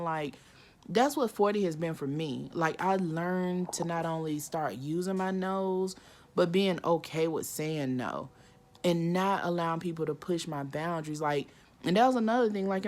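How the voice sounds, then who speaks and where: Woman in her 40s vlogging, lowish voice, lots of likes and ums, jumps way up when she acts out voices. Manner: animated, acts out inner voices with pitch jumps; frequent "like" and "um" fillers. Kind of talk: casual reflective monologue.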